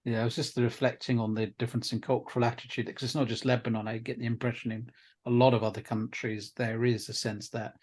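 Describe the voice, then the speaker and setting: A man's voice: mid-range voice, level tone, quick pace, a little mellow. A man interviewing a guest in a casual conversation, reflective and hedging often. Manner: reflective, hedging with "sort of" and "I mean".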